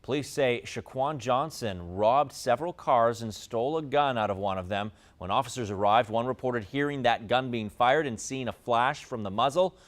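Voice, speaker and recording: A man's voice: medium pitch, moderate pace, few pauses. Male American news anchor. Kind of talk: scripted broadcast news reading.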